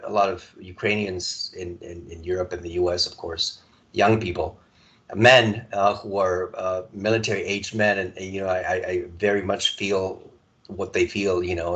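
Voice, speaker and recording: Male guest, 40s, from Serbia; low voice, rambling, halting conversational telling. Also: fairly bright tone, low-quality recording. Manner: halting, many restarts and repeated words. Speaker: podcast guest. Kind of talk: casual conversational storytelling.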